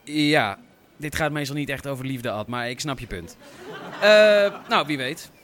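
A wry, animated city guy. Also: mid-range voice, unhurried, a faint crowd. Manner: dry, teasing, casual. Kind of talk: naturalistic Dutch audio drama dialogue.

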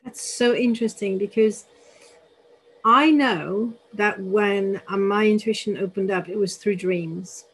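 A woman's voice: medium pitch, fairly even intonation, a little slow, a bit bright, breathy edge, low-quality recording. A woman hosting a conversational interview, asking questions and sharing her own reflections. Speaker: interviewer, host.

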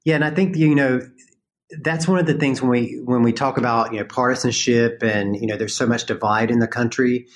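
A man from North Carolina with a Southern accent, answering a host's questions. He speaks thoughtfully in a mid-range voice and pauses on ums as he works out his point. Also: mid-range voice, fairly even intonation. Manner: earnest, thoughtful, many fillers. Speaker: guest, farm business owner. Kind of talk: conversational interview.

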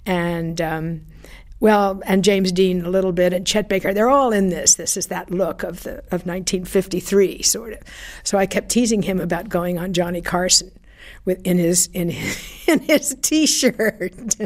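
A woman's voice: lowish voice, moderate pace. An older woman being interviewed, telling chatty anecdotes about her radio days with fillers and small laughs. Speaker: former radio broadcaster interviewee. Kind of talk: reminiscing interview.

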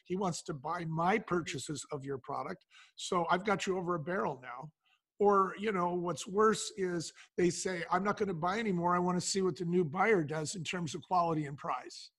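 High-voiced, husky man giving business advice. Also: moderate pace, a bit bright. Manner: explanatory, poses questions then answers them, acts out dialogue. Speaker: podcast guest, business founder. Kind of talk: conversational business advice in an interview.

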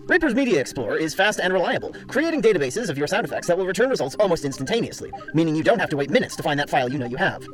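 A man reading a rapid-fire, lively advertising spiel. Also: fairly high pitch. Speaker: guest ad reader. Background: music bed under the read.

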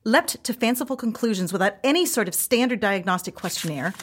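A severe female bureaucrat in an audio comedy, with a mid-pitched voice. She speaks in clipped, formal, disapproving phrases. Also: lively intonation, brisk, slightly rough. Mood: indignant. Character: stern, officious female government minister. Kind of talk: audio comedy drama acting.

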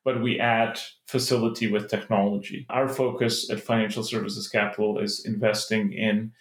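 A man's voice: on the low side, level tone. Czech-born man in an echoey room. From Czech Republic. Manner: measured, explanatory, some restarts. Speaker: private equity partner, guest. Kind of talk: interview answers.

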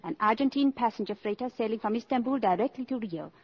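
Poised scheming countess, muffled radio. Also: female voice, a little high, fast talker, husky. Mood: poised, pleased. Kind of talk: 1950s radio drama acting. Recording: muffled 1950s radio broadcast.

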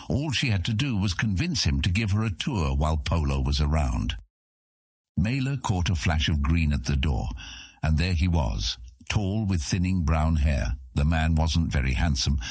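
A man's voice: sits low, breathy edge, dry recording, studio quality. A man narrating a scripted fantasy audiobook as a storyteller.

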